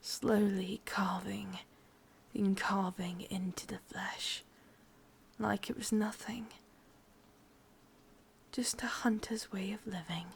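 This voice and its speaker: Woman doing brooding evil witch for asmr roleplay, english accent, slow breathy whisper with some creak. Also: mid-range voice, steady tone, a little hiss or hum. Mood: cold, menacing. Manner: slow, hushed, deliberate. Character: sadistic, brooding female witch, bitter and obsessive.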